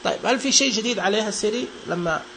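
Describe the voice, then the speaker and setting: A man's voice: high-pitched, racing, vocal fry, very noisy. A young man casually walking through phone accessibility settings, chatty and joking, echoing the screen reader's words. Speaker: tech reviewer demonstrating phone accessibility settings. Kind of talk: casual spoken walkthrough.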